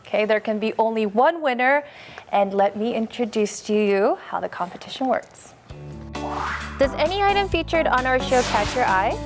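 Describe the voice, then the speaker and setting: A woman's voice: fairly high pitch, expressive, normal speed, music in the background. A woman hosting a TV show in English, bubbly and upbeat, laughing often. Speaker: host.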